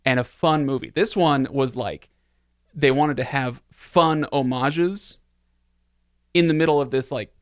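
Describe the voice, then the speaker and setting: A man's voice: mid-range voice, unhurried, dry recording, studio quality. A man hosting a casual podcast conversation about films. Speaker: podcast host. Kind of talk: casual chat.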